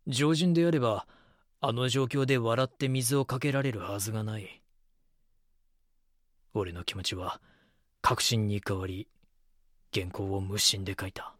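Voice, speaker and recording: True-crime narrator, male actor: solemn, lowish, unhurried delivery, dry studio sound. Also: steady tone, no echo, natural voice. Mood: grave, certain.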